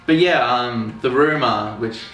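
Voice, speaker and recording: A man's voice: medium pitch, a bit bright. Joking man, roomy distant sound. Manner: joking, quick to laugh. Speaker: film review co-host. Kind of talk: casual chat. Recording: roomy, distant mic, faint music.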